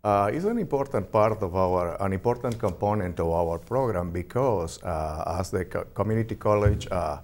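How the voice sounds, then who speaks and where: Peruvian guy, spanish accent, low deep voice, kinda halting but earnest interview answers. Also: a little mellow. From Peru. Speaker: interview guest, ESOL program representative.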